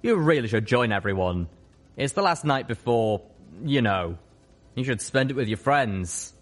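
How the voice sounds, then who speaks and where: An easygoing young male dragon , played by a man from a storybook. He talks in a friendly way, a little higher and louder than plain narration. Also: lively intonation, normal speed, natural voice. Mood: coaxing.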